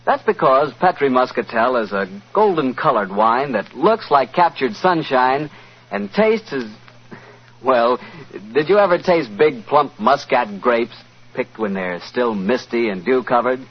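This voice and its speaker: An American man announcing and hosting a 1940s radio show, selling with emphatic, drawn-out words. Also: mid-range voice, lively intonation. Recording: muffled 1940s radio recording.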